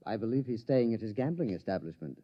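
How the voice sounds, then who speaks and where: Brief: an understated, wry doctor, animated pitch, old radio recording. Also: male voice, fast, no room sound, highs cut off. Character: dry-witted male doctor colleague, quietly nervous. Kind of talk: 1950s radio acting.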